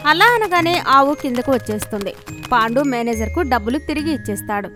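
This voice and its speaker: A woman's voice: high-pitched, lively intonation, a little fast, pushed and bright, soft background music. A woman narrating a scripted moral story for children.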